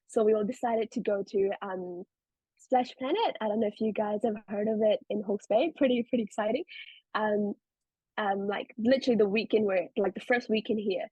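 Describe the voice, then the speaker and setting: A woman's voice: mid-range voice, normal speed, a little mellow, husky, no echo, rough recording. A young woman with a South African accent, a podcast guest telling stories in a chatty way, full of "like" and "um". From India. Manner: chatty, many fillers like "um" and "like". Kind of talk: casual interview chat.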